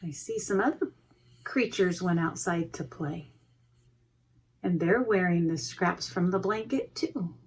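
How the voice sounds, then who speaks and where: A woman reads a picture book to preschoolers at an unhurried pace. Her voice is a little husky, and she speaks in simple, expressive phrases with asides to the children. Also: on the low side, rough recording. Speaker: preschool education manager reading a storybook. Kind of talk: children's read-aloud with asides to listeners.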